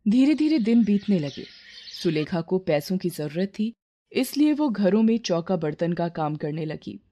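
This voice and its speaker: A woman's voice: fairly low pitch, big pitch swings, normal speed, dark tone. A woman reading a scripted moral story as its narrator.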